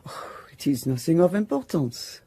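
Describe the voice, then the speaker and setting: A woman's voice: low-pitched, very animated, moderate pace, dark tone, slightly rough, no echo, heavily acted. A woman playing a guarded, anxious lady's maid in an audio drama, formal and deferential in her speech.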